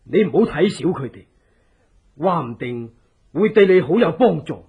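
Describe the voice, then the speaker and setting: A loyal, scheming conspirator with a very raspy, fairly high voice that swings widely in pitch. His Hong Kong Cantonese delivery is deliberate, persuasive and theatrical, in radio drama style. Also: animated, phone-quality sound, full character voice. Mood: reassuring, earnest. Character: loyal scheming male resistance ally, earnest, deliberate advisor.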